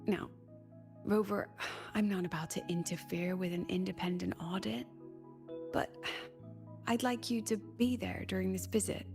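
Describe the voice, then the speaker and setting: Woman voicing a wry female teacher, video game, breathy, creaky, deliberate pauses, persuasive. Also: medium pitch, steady tone, music in the background, natural voice. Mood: serious, frank. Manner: measured, coy, deliberate pauses. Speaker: academy teacher character.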